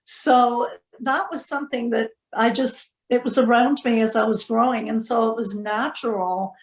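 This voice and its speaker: A woman's voice: fairly high pitch, steady tone, moderate pace. A woman from the northeast of England with a northern English accent, talking reflectively and casually as an artist in an interview, thoughtfully searching for words. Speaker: textile doll artist being interviewed. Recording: roomy, echoing sound.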